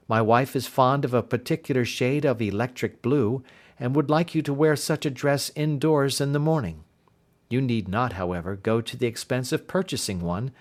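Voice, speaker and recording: A man's voice: mid-range voice, natural voice. A man reading as an earnest young governess. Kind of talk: audiobook reading.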